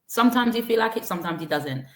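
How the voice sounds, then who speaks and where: A woman business owner answering interview questions frankly, in long steady runs with restarts. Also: a little high, quick pace. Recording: roomy echo.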